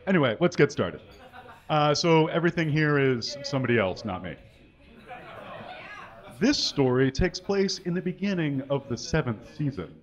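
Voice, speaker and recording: A man giving a comic reading on a small stage at a stand mic, deadpan and mock-earnest. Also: a little high, normal speed, highs cut off. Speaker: guest reader. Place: small stage with curtains and brick wall.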